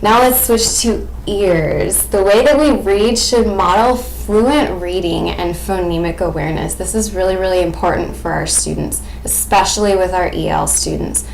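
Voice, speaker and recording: An American woman in her twenties giving an expressive, explanatory lesson presentation as a student teacher. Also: on the low side, normal speed, vocal fry, hardly any pauses. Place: small indoor room at home. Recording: echoey room with faint background noise.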